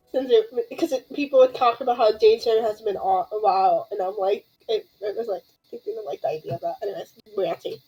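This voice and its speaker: A woman's voice: mid-range voice, lively intonation, a little fast, warm tone, slightly rough, faint music behind, rough recording. A young adult woman in casual gameplay chat, rambling and reacting in scattered bursts. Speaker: gaming streamer.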